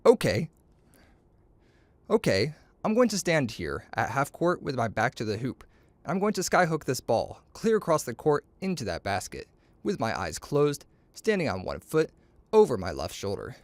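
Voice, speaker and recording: A man reading a children's story aloud, acting out the dialogue in an expressive, playful, boastful way. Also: mid-range voice, moderate pace, no room sound.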